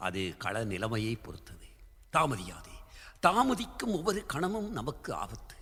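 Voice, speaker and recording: A man's voice: medium pitch, huge pitch swings, measured pace, fairly bright tone, soft background music, heavily acted. An actor playing a proud, guilt-ridden king in a historical radio drama, declaiming formally. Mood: urgent. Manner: declamatory, formal classical diction. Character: proud, guilt-ridden Tamil king confessing to his queen. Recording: long hall-like reverb.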